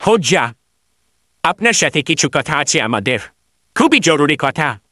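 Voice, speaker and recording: A man playing an eager, flattering villager in a cartoon, speaking in an emphatic, insistent way. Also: fairly high pitch, unhurried, dry recording, clean studio sound, full character voice. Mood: respectful, eager. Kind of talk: animated cartoon dialogue.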